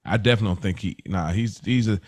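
A man's voice: on the low side, fast. Male podcast co-host, casual and slangy review chat, conversational delivery.

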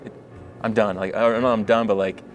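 A man's voice: on the low side, level tone, faint music behind. A man interviewing a guest at a convention, friendly, often restarting his questions. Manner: quick, friendly, stumbling restarts. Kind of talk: casual interview. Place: convention floor.

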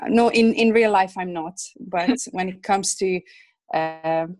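Softly hesitant woman from Hungary. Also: lowish voice, takes it slowly, no echo. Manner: hesitant, many fillers, soft laughs. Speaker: illustrator guest. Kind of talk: casual interview chat.

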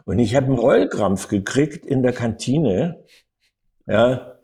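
An older man telling anecdotes as an interview guest, in an animated storytelling manner with frequent little tag words. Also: mid-range voice, slow pace. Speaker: acting coach and interview guest.

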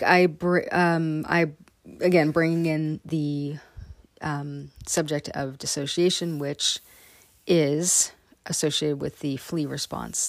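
A woman's voice: low-pitched, creaky, no echo. A woman who hosts a podcast and speaks in a rambling, casual way, with many ums and restarts. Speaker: podcast host. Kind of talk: casual podcast intro and interview.

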